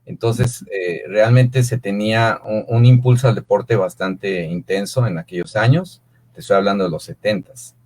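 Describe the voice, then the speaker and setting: Fifties Mexican-accented man, slightly low voice, rambling filler-heavy answers. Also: moderate pace, a little mellow. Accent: Mexican Spanish. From Mexico City. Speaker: guest, swim coach. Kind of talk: relaxed interview answers.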